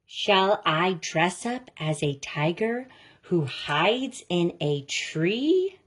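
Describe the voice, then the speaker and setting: A woman reads a rhyming children's story aloud at a very slow pace. Her voice sits a little low for a woman, and she delivers each phrase deliberately and with expression. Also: very animated, slight background noise. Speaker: story reader.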